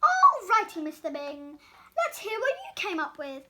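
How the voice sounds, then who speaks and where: A woman voicing an eager, dramatic little male talking doughnut in a storybook, with emphatic, excitable delivery. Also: very high voice, unhurried, slight background noise, full character voice. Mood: cheeky. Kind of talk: storybook read-aloud character voice.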